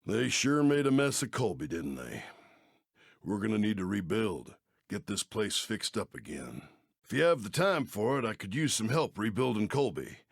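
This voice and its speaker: A man's voice: on the low side, big pitch swings, normal speed, vocal fry, breathy edge, no room sound, studio quality, exaggerated voice. A man playing a folksy, aging, kindly but worried Old West sheriff in a video game, with an American Western accent and neighborly, drawling speech. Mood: helpful, concerned. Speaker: mission-giving game character. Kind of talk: video game character acting.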